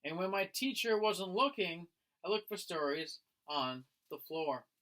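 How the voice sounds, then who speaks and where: Bright-voiced man reading slowly to children. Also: high-pitched, expressive. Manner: dramatic, acting out the lines. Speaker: teacher reading a picture book aloud to a class. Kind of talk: expressive children's read-aloud.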